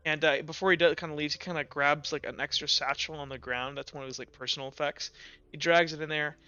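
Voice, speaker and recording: A man running a tabletop roleplaying game, improvising narration in a halting, loose, conversational way. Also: on the high side, fairly even intonation, pushed and bright, clear, resonant tone, dry recording. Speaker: game master. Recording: dull audio with music.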